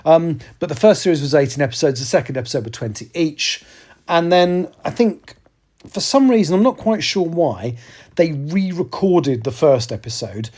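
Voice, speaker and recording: A man's voice: on the high side, animated, normal speed, darkish tone. A man hosting a casual solo podcast, talking in a rambling way with frequent ums and self-corrections. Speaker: podcast host.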